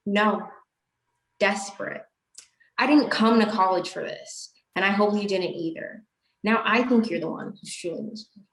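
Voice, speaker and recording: A woman's voice: medium pitch, normal speed, close to an everyday voice. A young woman acting an earnest, exasperated college student in a student stage play. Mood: firm, confronting. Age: young adult. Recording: echoey stage room.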